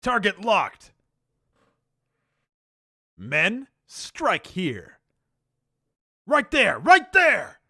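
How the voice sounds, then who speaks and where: A man voicing a bombastic army commander who barks orders with theatrical swings between calm and yelling. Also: high-pitched, slow pace, long pauses, dry recording, studio quality, heavily acted. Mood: commanding. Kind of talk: comedic game-character voice lines.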